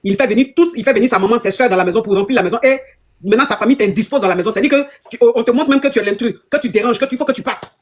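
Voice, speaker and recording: A woman's voice: a little high, rapid-fire. A woman relationship coach, giving emphatic advice that repeats phrases and scolds her listeners. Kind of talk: advice call-in talk.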